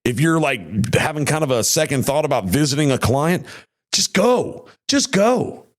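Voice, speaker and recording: A man's voice: fairly high pitch, very animated, moderate pace. A man hosting a podcast in casual chat, his voice tired and worn, rambling in a folksy way with many restarts. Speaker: podcast host. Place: home studio.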